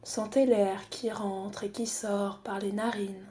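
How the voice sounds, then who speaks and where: A woman guiding a body scan meditation in a calm, unhurried, soothing manner with long pauses. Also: mid-range voice. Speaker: psychologist guiding a relaxation exercise.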